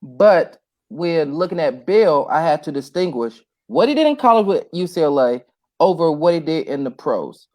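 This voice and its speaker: A man's voice: fairly high pitch, very animated, moderate pace, darkish tone. Playful man talking basketball picks. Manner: playful, thinks aloud, weighs his picks. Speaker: podcast guest. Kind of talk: casual sports chat.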